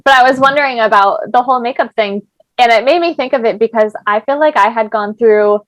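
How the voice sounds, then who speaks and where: A woman's voice: fairly high pitch, fast, a bit bright, no echo. A young woman hosting a podcast, chatting casually and filling her talk with "like". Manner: chatty, lots of "like" fillers. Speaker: podcast host. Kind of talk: casual chat.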